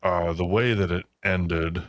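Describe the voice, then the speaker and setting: A man with a deep voice co-hosts a casual book podcast. His delivery is informal and conversational, and the recording is dry with very little room sound. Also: fairly even intonation, unhurried, dry recording. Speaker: podcast co-host.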